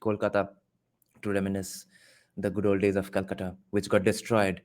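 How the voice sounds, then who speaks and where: A man's voice: deep voice, completely flat delivery, moderate pace, a little mellow. A man hosting a live stream, mocking a clip with sarcastic asides and laughter into a close mic. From India. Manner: sarcastic, laughing, swears freely. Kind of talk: casual mocking commentary.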